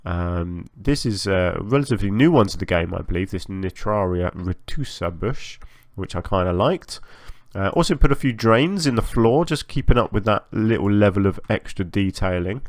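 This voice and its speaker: A man giving casual, chatty commentary as host of a gaming channel, a little hoarse from a long recording session, hesitant and self-effacing. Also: on the low side, lively intonation, moderate pace, hardly any pauses, dry recording, clean studio sound. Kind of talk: casual game build commentary.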